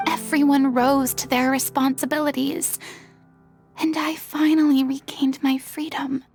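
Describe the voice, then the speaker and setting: A woman voicing a lonely, remorseful young former goddess in a video game, speaking softly and confessionally, often near a whisper. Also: sits high, level tone, a little slow. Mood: wistful. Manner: soft, confessional, often hushed. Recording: game audio with faint music.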